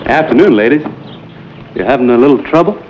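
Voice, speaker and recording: An actor playing an easygoing frontier man who talks in a dry, hesitant drawl with many pauses in a vintage drama. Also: mid-range voice, animated, natural voice. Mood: friendly. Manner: hesitant, drawling, full of uhs. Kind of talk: vintage television drama acting. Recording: dull lo-fi old soundtrack, faint hiss.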